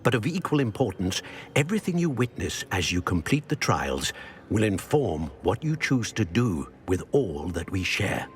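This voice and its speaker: A man voicing an ancient guardian of secrets, speaking in a grave, formal, measured way. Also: on the low side, sing-song. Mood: solemn. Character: solemn ancient male keeper of secrets.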